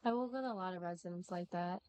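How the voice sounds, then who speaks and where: A woman's voice: fairly low pitch, quick pace, darkish tone. A woman live-streaming a game, chatting casually and muttering asides to herself.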